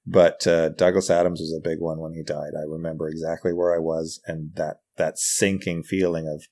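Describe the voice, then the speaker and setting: Low-voiced man in casual conversation. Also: little pitch movement, normal speed, warm tone. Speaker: podcast guest, writer and comedian.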